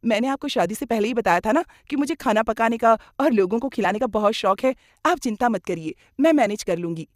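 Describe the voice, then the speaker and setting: Woman voicing an eager, high-pitched young daughter-in-law. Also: lively intonation, fairly quick, few pauses, dry recording, clean studio sound, natural voice. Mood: cheerful, eager. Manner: gushing, insistent. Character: cheerful, eager young daughter-in-law, proud experimental cook. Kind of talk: Hindi moral cartoon story.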